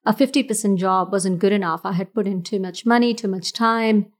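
A woman's voice: mid-range voice, brisk. A woman from Fiji, a business founder, talking earnestly and reflectively in a casual interview, often restarting her sentences. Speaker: entrepreneur guest.

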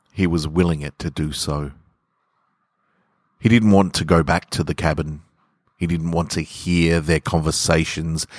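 A man hosting a story podcast, speaking with measured, deliberate phrasing. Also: low-pitched, dry recording, clean studio sound. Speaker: podcast host and story reader. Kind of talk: literary story narration and informal sign-off.

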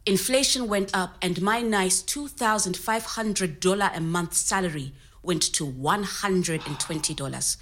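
A woman's voice: on the low side, a little slow, few pauses, a bit bright. An actress playing a resourceful, candid woman recounting her working life in a conversational storytelling style. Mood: matter-of-fact. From Zimbabwe. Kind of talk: scripted oral-history storytelling in conversational manner.

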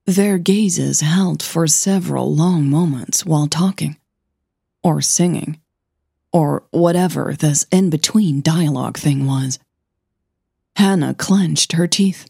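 A woman narrates scripted fiction in a slightly low, mellow voice. Her delivery is very slow, measured and gentle, as storytelling for an audio drama. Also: creaky, slightly rough, no echo, studio quality.

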